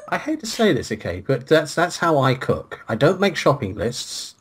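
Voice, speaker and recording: A woman's voice: deep voice, wildly swooping pitch, unhurried, breathy edge. A woman co-player giving casual, joking commentary on a game.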